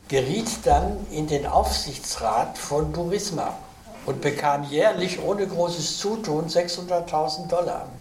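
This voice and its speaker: A man from Cologne answering interview questions in a measured, lecturing way, with asides and restarts. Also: on the high side, a little mellow, reverberant, slight background noise. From Cologne, Germany. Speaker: interview guest.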